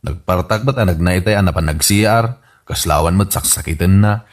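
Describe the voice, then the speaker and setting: An actor as a deep-voiced grumbling son. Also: male voice, normal speed, close to an everyday voice. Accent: Ilocano. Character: grumbling grown son looking after his old father. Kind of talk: radio comedy drama acting.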